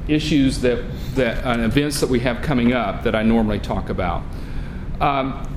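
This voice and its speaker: A man chairing a public commission meeting into a room microphone, with a loud voice and a halting delivery full of fillers and restarts. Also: mid-range voice, reverberant, noisy background. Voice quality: self-described loud voice.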